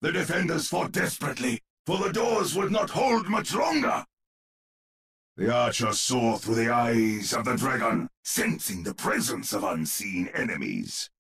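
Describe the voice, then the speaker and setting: Gravelly, dramatic gothic horror storyteller. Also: male voice, medium pitch, sing-song, unhurried, a bit bright, exaggerated voice. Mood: tense, suspenseful. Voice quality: gravelly, husky. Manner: grandiose, suspenseful, emphatic delivery. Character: grim, dramatic male teller of gothic horror tales. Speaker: narrator.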